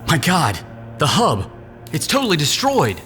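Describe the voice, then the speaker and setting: Casting: actor for a pressing, secretive male schemer, sharp tone, lively intonation, sci-fi radio drama. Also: a little high, unhurried, faint music behind, full character voice. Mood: shocked. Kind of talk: scripted audio drama acting.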